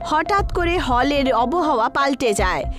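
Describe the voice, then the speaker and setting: A woman's voice: high voice, lively intonation, measured pace. A woman giving scripted narration of a horror story. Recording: background music under the voice.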